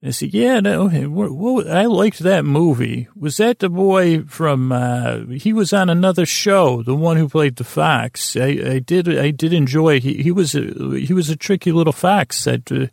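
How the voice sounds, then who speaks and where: A man's voice: fairly high pitch, animated, normal speed, gravelly, few pauses, a little mellow, dry recording, studio quality. A man voicing a nostalgic grandfatherly man who rambles, forgets and gets sidetracked on a leisurely drive, as part of a bedtime monologue. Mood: nostalgic, rambling. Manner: wandering, forgetful, easily sidetracked.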